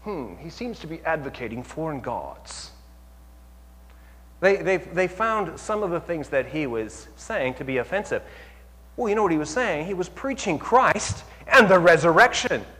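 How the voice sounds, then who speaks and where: A man's voice: a little high, moderate pace. Man preaching in a reverberant hall. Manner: animated, rising to near-shouts for emphasis; asks the audience rhetorical questions. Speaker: pastor. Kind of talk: sermon.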